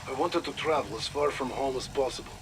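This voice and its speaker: Man acting war-weary Russian soldier, mid-pitched, noisy log. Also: lightly acted. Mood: weary, regretful. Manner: sombre, weary, deliberate. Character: disillusioned, war-weary Russian male special forces soldier. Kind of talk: dramatic audio log acting. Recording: noisy audio log with engine-like rumble.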